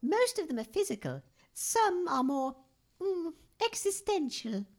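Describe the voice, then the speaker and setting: A woman's voice: extremely high pitch, sing-song, unhurried, exaggerated voice. A woman playing a blunt, dry-voiced mutant. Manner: plain, matter-of-fact and dry.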